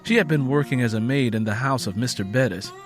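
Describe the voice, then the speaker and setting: A man's voice: medium pitch, fast talker, faint music behind. A man reading a dramatic audiobook story as its narrator, in scripted prose.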